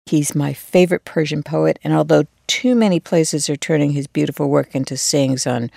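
A woman's voice: low-pitched, normal speed, vocal fry, dark tone, no room sound, studio quality. A woman co-hosting a podcast, chatting in a friendly way and introducing guests. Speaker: podcast co-host. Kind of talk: casual chat, introducing guests.

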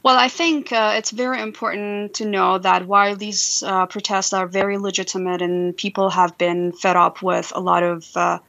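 A woman's voice: medium pitch, flat delivery, moderate pace, vocal fry, few pauses, a bit bright, lo-fi sound. A woman from Iran whose first language is Farsi, answering as a political analyst on a radio interview with many fillers and restarts.

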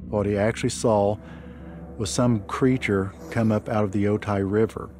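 A man giving a first-person account in a measured, matter-of-fact way. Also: on the low side, steady tone, darkish tone, a little hiss or hum. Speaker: storyteller. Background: prominent music bed.

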